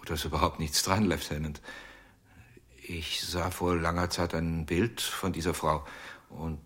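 Radio drama suspect: troubled stage performer, evasive, clipped replies, low slow voice. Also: male voice, flat delivery, a bit bright. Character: evasive, troubled male stage ventriloquist under suspicion. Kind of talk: radio crime drama acting.

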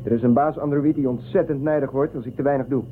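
Male-acted crewman, sci-fi radio play: polite, sincere, medium pitch, narrow lo-fi band. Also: steady tone, fast talker, a little hiss or hum, close to an everyday voice. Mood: earnest. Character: earnest, polite male crewman working in orchard. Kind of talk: science fiction radio drama acting. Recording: lo-fi phone-like band, music behind.

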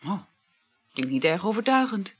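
A breezy, husky, lilting mistress. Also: female voice, mid-range voice, very animated, normal speed. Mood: cool, challenging. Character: unfaithful married woman, breezy, nonchalant, questioning mistress. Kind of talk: radio play dialogue.

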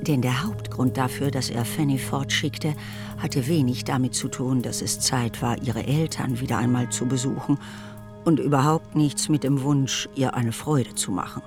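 Woman reading literary narration, measured, radio drama style, clear music underneath. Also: very deep voice, steady tone.

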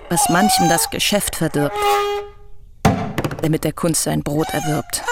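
An actress playing a young woman in a radio drama who calmly and persistently questions an old artist, probing and refusing to let go. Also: on the low side, slight background noise. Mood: measured. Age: young adult. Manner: calm, probing, stubborn questioning.

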